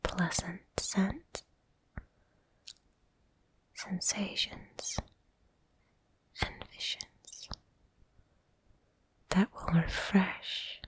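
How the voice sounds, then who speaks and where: A woman guides a relaxation and sleep visualization in an ASMR whisper. Her voice is extremely breathy and airy, with hardly any tone in it. She speaks very slowly and soothingly, leaving long pauses between short phrases. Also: lowish voice, darkish tone, dry recording.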